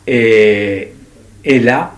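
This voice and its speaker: A man in his nineties with an Ivorian accent, recounting his memories in an interview in a deliberate, halting way with repetitions. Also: lowish voice. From Ivory Coast. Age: 90s. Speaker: interviewee, writer. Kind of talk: personal recollection in an interview.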